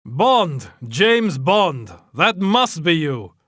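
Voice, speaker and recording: A brash spy associate in a video game. He complains in a high, forceful voice that swings in pitch. He sounds exasperated. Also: big pitch swings, extremely slow, no room sound, clean studio sound, heavily acted.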